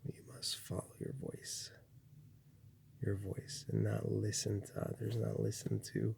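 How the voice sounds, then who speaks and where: Slow gravelly-voiced man musing softly. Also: completely flat delivery, a little hiss or hum. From Chile. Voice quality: gravelly, husky low voice. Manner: slow, rambling, with many restarts and fillers. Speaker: spiritual speaker. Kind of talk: reflective spiritual monologue.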